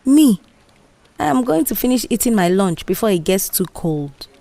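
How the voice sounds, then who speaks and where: A woman's voice: medium pitch, moderate pace, creaky. A woman acting a brash, scheming Nigerian office worker. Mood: confident, brash. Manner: animated, dismissive, persuasive. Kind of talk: audio drama character dialogue.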